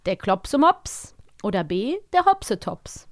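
A woman from Cologne co-hosts a relaxed podcast, speaking at an ordinary mid pitch. She is cheerful and quick-witted, trading easy banter about favourite children's stories. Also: slight background noise. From Cologne, Germany. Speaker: podcast co-host. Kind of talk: casual chat about radio plays.

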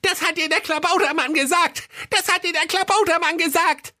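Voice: very high voice, moderate pace, pushed and bright, no echo, clean studio sound. A performer playing a small, ugly, wicked gnome doctor, bossy and gloating, in a comic fairy tale audio play. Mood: furious. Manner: pushy, scolding.

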